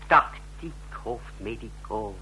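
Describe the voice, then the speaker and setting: A man's voice: on the low side, expressive, takes it slowly, sharp tone, slightly rough, exaggerated voice, low-quality recording. A man acting in a Dutch radio play as an impatient, condescending tactician who lectures emphatically.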